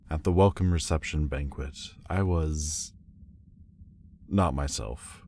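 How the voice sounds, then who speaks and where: An extremely low, slow-spoken demon lord played by a man, proud and formal in an audio roleplay. He sounds haughty yet halting, with a guarded vulnerability under the stiffness. Faint noise sits behind the voice. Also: darkish tone, heavily acted. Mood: awkward, sheepish. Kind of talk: scripted roleplay audio drama. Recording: faint background noise and ambience. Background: faint ambient sound effects.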